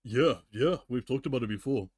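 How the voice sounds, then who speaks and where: A man streamer with a mid-pitched voice in a dry, close recording. He moves between dramatic story reading and casual banter, teasing and swearing freely. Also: brisk, no echo. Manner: foul-mouthed, teasing. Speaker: streamer reading fan fiction.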